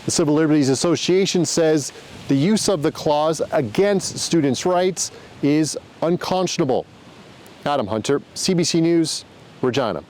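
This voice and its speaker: A male reporter gives a crisp, scripted news report and sign-off. His voice sits fairly high for a man. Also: lively intonation, normal speed, darkish tone, a little hiss or hum.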